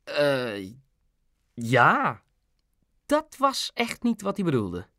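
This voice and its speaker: A man's voice: fairly high pitch, wildly swooping pitch, very slow, sharp tone, dry recording, studio quality, full character voice. Man acting a hesitant, nervous boy. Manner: hesitant, stammering excuses. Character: nervous, sweaty young son covering up a fib. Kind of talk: audiobook character voice.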